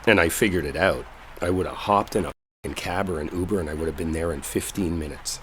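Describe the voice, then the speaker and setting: A man acting an earnest, lovestruck coworker in an intimate roleplay audio, speaking in a halting, colloquial, confessional way. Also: low-pitched, fairly bright tone, slight background noise. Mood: tender, regretful. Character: earnest lovestruck male coworker clearing up a misunderstanding. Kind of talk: intimate dramatic roleplay audio, one-sided conversation. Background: rainstorm ambience.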